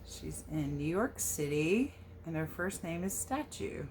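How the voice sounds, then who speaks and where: Animated, lowish-voiced woman reading to children. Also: a little slow, a little hiss or hum. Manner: animated, questioning, sing-song verse delivery. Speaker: teacher reading a picture book to children. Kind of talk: expressive read-aloud with casual asides to young listeners.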